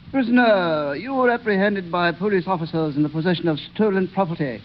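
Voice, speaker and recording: An actor playing a cold, pompous judge who speaks sternly and formally in a 1930s radio drama. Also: male voice, high-pitched, a little fast, natural voice. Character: cold, pompous male judge pronouncing sentence. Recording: dull, noisy 1930s radio recording.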